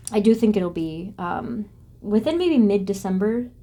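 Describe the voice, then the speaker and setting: A woman reading tarot cards for her viewers, talking softly and haltingly with many pauses and restarts. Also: medium pitch, lively intonation. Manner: soft, halting, with frequent ums and restarts. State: voice briefly going hoarse and quiet. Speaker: tarot reader. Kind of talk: pick-a-card tarot reading.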